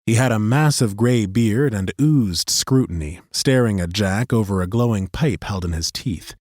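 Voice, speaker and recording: A man narrating a fantasy audiobook in a measured, steady storytelling manner on a close studio mic. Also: on the low side, dry recording.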